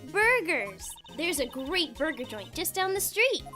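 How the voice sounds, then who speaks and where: Woman acting a perky, very high-pitched girl. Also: very animated, a little slow, pushed and bright, faint music behind, exaggerated voice. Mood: eager, playful. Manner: bubbly, cheeky. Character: perky, teasing, bossy teenage girl sidekick. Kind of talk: fandub voice acting of a visual novel game.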